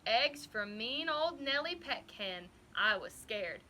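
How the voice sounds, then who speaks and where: Woman doing a kids book read-aloud, slow and expressive, highish bright voice, bit of background noise. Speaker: storybook reader.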